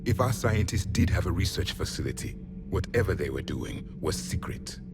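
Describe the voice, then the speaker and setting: Deep, cold, proud alien warrior. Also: male voice, expressive, over loud music. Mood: grave. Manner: grave, measured, disdainful. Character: ancient alien warrior, last of his kind, cold and proud. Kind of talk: video game character dialogue.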